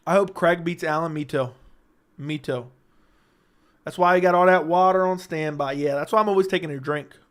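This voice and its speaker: A man hosting a casual livestream, reading chat questions aloud and answering them in a joking way, his voice slightly raspy and worn. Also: on the high side, moderate pace.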